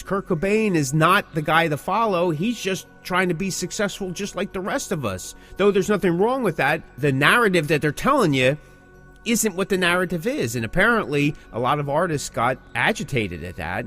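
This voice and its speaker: A middle-aged man talks casually as a podcast host. His pitch moves around a lot as he stresses his points and repeats phrases in an opinionated way. Soft music plays behind him.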